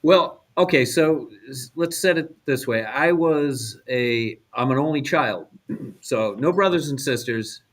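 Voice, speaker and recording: A man's voice: medium pitch, animated, unhurried. A middle-aged American man co-hosting a podcast, telling casual anecdotes in short conversational phrases. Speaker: podcast co-host.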